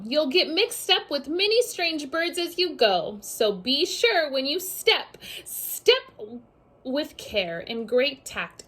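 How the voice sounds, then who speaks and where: High, animated woman reading to children. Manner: animated, addresses children directly with questions and asides. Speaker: teacher reading a story aloud to young pupils. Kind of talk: expressive children's read-aloud.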